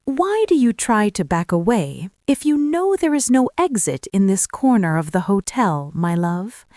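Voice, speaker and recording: A woman's voice: mid-range voice, sing-song, measured pace, warm tone, no echo, studio quality. A woman playing an obsessive, lovestruck demon princess in an intimate ASMR roleplay, sweetly menacing and regal. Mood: possessive, sweet. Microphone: close ASMR microphone.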